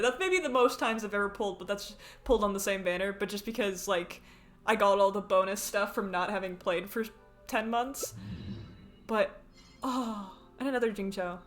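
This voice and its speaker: A woman from Canada, chatting excitably and rambling while she live-streams a game. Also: mid-range voice.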